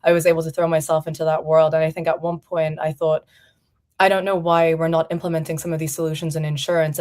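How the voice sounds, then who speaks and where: A woman from Portugal in her thirties talks through her career as a guest on an interview show. Her voice sits low and stays fairly level, without big swings. She speaks in a reflective, conversational way and often leans on "you know". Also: darkish tone. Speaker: founder and CEO, guest.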